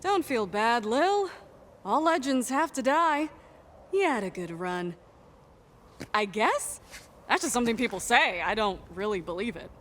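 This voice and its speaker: A woman's voice: fairly high pitch, lively intonation, unhurried, pauses often, slight background noise. A woman acting a cocky young cult-leader villain in a video game, taunting and theatrical like a showy streamer. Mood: mocking. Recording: loud music behind the voice.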